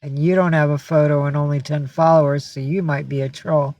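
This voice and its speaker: A man's voice: on the high side, little pitch movement, fairly quick, darkish tone. A man hosting a casual live stream, answering viewer comments and rambling with lots of fillers and restarts. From San Francisco Bay Area.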